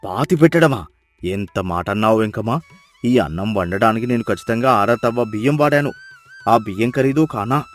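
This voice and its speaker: A man's voice: fairly low pitch, lively intonation, normal speed, soft background music, lightly acted. A man voicing a miserly, cunning village farmer in a moral story, whining and grumbling with exaggerated excuses. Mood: dismayed. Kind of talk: dramatised moral story voice acting.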